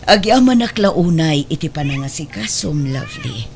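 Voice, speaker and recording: Wealthy middle-aged mother played by a woman, firm, reproachful, lively pitch swings, Northern Philippine accent, audio drama. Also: moderate pace. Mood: resolute, calm. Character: stern, wealthy ailing adoptive mother putting her affairs in order. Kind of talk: emotional audio drama acting. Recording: clear background noise.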